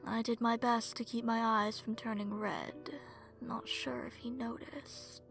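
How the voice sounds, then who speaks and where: A woman playing a seductive, smug and predatory vampire in an ASMR horror story, speaking in a sultry, breathy way that slips into whispers. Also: fairly high pitch, steady tone, measured pace, over music. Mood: sultry scheming. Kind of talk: ASMR horror story narration in first person.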